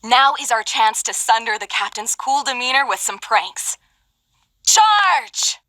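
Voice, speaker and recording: A spirited female prankster from a video game. Her high, bright voice is animated and full of theatrical mischief. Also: measured pace, no echo, exaggerated voice. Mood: mischievous. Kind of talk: video game character voice lines.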